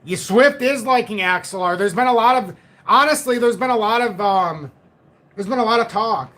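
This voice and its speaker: A man's voice: high voice, normal speed, fairly bright tone. A man in his forties hosting a casual crypto livestream, walking through charts and reading out viewer comments, often repeating himself. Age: 40s.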